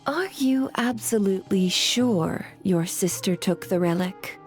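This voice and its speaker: A woman's voice: medium pitch, unhurried, faint music behind, close to an everyday voice. A woman playing a shrewd, coolly self-assured information broker in a video game, teasing and knowing, fond of pointed rhetorical questions. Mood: teasing, probing. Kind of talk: video game character acting.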